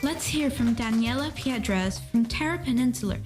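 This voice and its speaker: A woman voicing a confident, teasing know-it-all young kid in an animated story. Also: a little high, normal speed, close to an everyday voice. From Pakistan. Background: background music.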